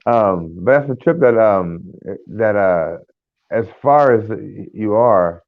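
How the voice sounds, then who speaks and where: Low-voiced American man on a tinny line. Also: expressive, no echo. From United States. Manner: rambling, halting, many fillers and restarts. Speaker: podcast co-host. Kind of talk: casual chat.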